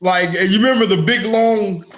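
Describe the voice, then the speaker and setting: A man's voice: sits high, normal speed, fairly bright tone. A man in his forties co-hosting a comedy podcast, chatting informally with slang and easy swearing. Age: 40s. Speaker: podcast co-host. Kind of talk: casual comedic chat.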